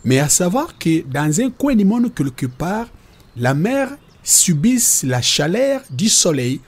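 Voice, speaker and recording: A man's voice: high-pitched, very animated, slow, husky, full character voice. A man playing a patient cartoon father who explains God and nature to children in a reassuring, teacherly way. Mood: explaining. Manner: didactic, reassuring.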